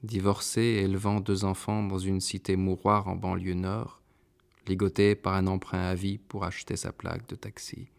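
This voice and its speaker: A man narrating a first-person novel in a measured, introspective way. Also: sits low, flat delivery, gravelly. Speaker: audiobook narrator.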